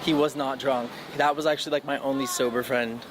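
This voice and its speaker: A man's voice: medium pitch, fairly even intonation, brisk, noisy background. Laid-back young man chatting outdoors. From Los Angeles area. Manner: laid-back, laughs easily, many fillers. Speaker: musician guest. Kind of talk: casual interview chat. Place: outdoors on a sidewalk.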